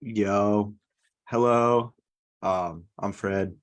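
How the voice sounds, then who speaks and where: A man's voice: on the low side, steady tone, extremely slow, dry recording. A man in his twenties introducing himself as a contestant, mumbling and a little awkward. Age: 20s.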